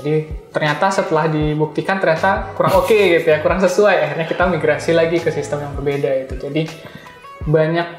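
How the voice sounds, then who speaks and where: Guy guest explaining stuff casually, highish voice, soft music behind. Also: fairly even intonation, a little fast. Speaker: guest, backend developer. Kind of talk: casual explanatory interview answers. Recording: roomy echo, faint music behind.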